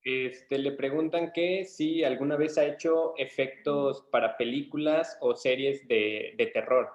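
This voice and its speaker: A man's voice: medium pitch, fairly even intonation, dull audio. A young man politely and hesitantly putting questions to a guest as a student interviewer. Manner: hesitant, halting. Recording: roomy, echoey sound.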